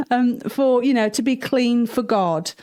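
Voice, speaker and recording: Chatty, high-pitched woman storyteller. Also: a little mellow. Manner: chatty, giggly, enthusiastic. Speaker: storytelling host. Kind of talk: informal Bible storytelling for children.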